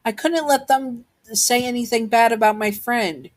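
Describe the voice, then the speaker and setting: A woman's voice: a little high, normal speed, voice pushed up, lightly acted. A woman voicing a bold, blunt young child who fiercely protects a friend, in an amateur story narration. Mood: upset, protective. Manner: defiant, blunt.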